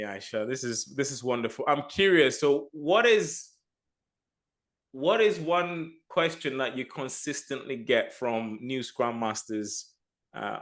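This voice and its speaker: A man's voice: medium pitch, a bit bright. A man speaking as a guest coach in an interview, reflective and often restarting his phrases. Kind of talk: casual interview chat.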